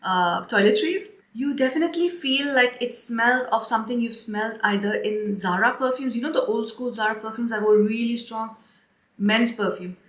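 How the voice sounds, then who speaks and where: Chatty young woman, slightly hoarse and stuffy, pitched a touch high, recorded in an echoey room. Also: normal speed, a bit bright. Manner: chatty, rambling, laughs often. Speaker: perfume reviewer. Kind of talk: casual chat to camera.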